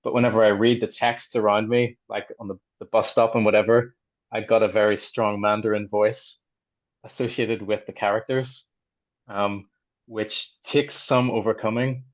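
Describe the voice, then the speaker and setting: A man from Ireland, a translator on a panel, answering thoughtfully with many pauses and restarts over a video call. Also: on the low side, flat delivery, low-quality recording. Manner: hesitant, many ums and restarts. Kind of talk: conversational answer in a panel discussion.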